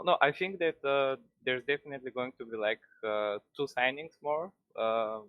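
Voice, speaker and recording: A man from Bulgaria, calling in to a stream and talking in a halting way with many restarts. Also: mid-range voice, no echo, narrow, tinny audio. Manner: halting, restarts often, laughs easily. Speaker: caller. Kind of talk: casual chat.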